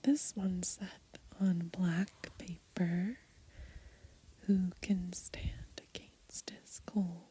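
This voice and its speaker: A woman whispering softly and slowly in a gentle ASMR style, pausing between short phrases as she reads and browses through a book. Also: on the low side, little pitch movement, slight background noise. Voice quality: breathy, mostly unvoiced whisper. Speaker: ASMR host. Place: outdoors, lying in grass in the countryside.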